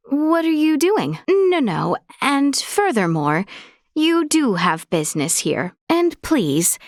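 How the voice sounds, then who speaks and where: A young woman playing a courteous, earnest princess in a video game, speaking in formal, archaic courtly phrases with gracious, measured manner. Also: mid-range voice, no room sound, clean studio sound. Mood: flustered. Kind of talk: scripted video game character dialogue.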